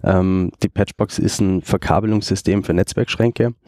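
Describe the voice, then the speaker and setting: A man in his thirties from Germany, co-founder of a company, explaining his product at length in a relaxed interview with frequent fillers. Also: sits low, moderate pace, no echo, studio quality. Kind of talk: casual interview talk.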